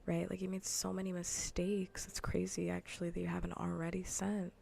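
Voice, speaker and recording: A woman livestreamer chatting softly in ASMR style, often whispering with a breathy, gravelly fry, in a casual, rambling way that repeats phrases. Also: on the low side, monotone, brisk, a little hiss or hum. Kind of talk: soft ASMR gaming livestream chat. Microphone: close ASMR microphone.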